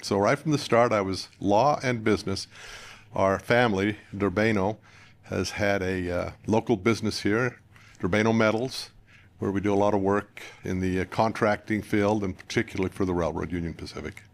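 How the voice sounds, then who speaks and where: An older American man from northern Utah, a guest candidate giving plain-spoken, conversational interview answers in measured phrases. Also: on the low side. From northern Utah, United States. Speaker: political candidate, podcast guest.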